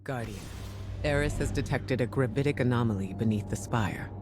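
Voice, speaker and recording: A man plays an earnest ally in a video game. He delivers a helpful mission briefing at a middling pitch. Music plays clearly underneath. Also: fairly quick, natural voice.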